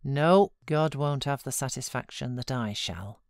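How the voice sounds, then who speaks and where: A grave, stubborn boy, played by a woman narrator with a British accent broods on revenge in a storybook reading. The pitch is low for a female voice and the sound is dry. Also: lively intonation, measured pace, no echo, exaggerated voice. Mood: bitter, vengeful.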